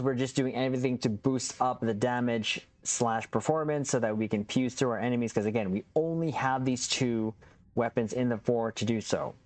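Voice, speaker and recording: Man, gaming channel host, upbeat, chatty, casual build walkthrough, mid-range pitch. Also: a little mellow, dry recording. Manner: upbeat, chatty, addresses viewers as captains.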